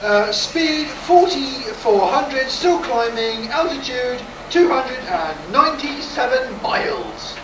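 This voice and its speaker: An actor in a live amateur radio play, playing a daring, excitable test pilot reporting from his cockpit. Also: very high-pitched, slow pace, hardly any pauses, exaggerated voice. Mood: focused, tense. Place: live stage at a convention. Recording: distant, echoey live hall recording with noise.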